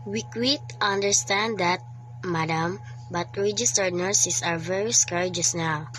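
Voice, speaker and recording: A woman's voice: mid-range voice, a bit bright, close to an everyday voice, poor audio. A woman playing a formal hospital switchboard operator in a radio thriller, polite, procedural and unruffled.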